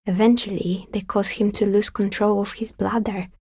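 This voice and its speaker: An actress voicing a dry, sceptical woman dicemaker in a video game, with a soft, breathy, husky voice and a wry, understated delivery. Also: medium pitch, level tone, moderate pace, no echo. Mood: wry, matter-of-fact. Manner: wry, understated, matter-of-fact. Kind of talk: video game character dialogue.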